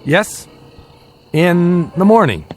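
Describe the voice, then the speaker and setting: A man's voice: sits high, sing-song, takes it slowly, faint music behind, full character voice. Guarded father, dramatically inflected. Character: steady, guarded family father planning a secret escape. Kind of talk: radio drama acting.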